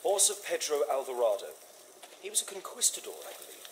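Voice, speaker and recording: An actor playing a composed, incisive Victorian detective in a video game, with a British accent and crisp, measured delivery. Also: male voice, fairly high pitch, huge pitch swings, background noise. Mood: observant, musing. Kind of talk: scripted video game dialogue and deductions.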